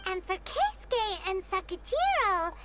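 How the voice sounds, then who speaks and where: A woman voicing a tiny, excitable childlike fairy companion in a video game, with a squeaky, chirpy delivery. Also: unhurried, music in the background, exaggerated voice. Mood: chirpy, thoughtful. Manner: chirpy, speaks of self in third person.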